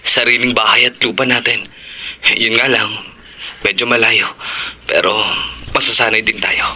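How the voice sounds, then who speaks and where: Bright-voiced, reassuring husband. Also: male voice, mid-range voice, flat delivery, moderate pace, slightly rough. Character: calm, reassuring husband and father. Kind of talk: Tagalog horror audio drama acting.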